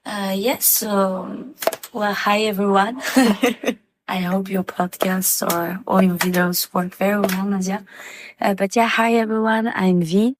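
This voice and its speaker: A woman's voice: mid-range voice, steady tone, slow, background noise. A woman from Paris, France, chatting casually as a guest on a podcast. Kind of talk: casual chat.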